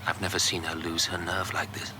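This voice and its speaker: An actor playing a stubborn, confiding married politician, clipped and restrained, in a radio drama. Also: male voice, deep voice, flat delivery, fairly quick, heavy background noise, lightly acted. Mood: anxious. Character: married male politician, confiding, stubborn, guilty yet self-assured. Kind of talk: naturalistic radio drama acting.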